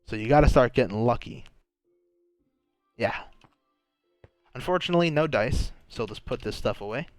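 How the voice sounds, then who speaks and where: A man's voice: mid-range voice, lively intonation, normal speed, long pauses, darkish tone, dry recording, soft background music. A young man with a sore throat, chatting casually and thinking aloud while playing a game, often restarting his sentences. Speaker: gaming channel host. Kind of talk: casual gameplay commentary.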